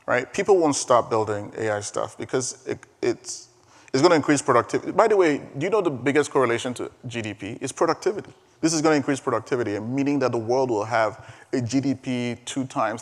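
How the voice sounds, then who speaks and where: An energetic man whose first language is Igbo speaks on stage at a conference in an ordinary mid-range voice. He is emphatic and keeps doubling back on his words. His voice carries a long reverb from a large hall. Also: medium pitch. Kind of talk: live keynote talk and Q&A.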